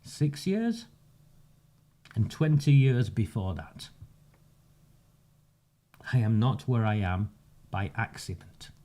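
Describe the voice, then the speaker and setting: A man, delivering a measured, sarcastic campaigning monologue with emphatic pauses. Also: fairly low pitch, very animated, mellow. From northern England. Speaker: community campaigner. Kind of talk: direct-to-camera monologue.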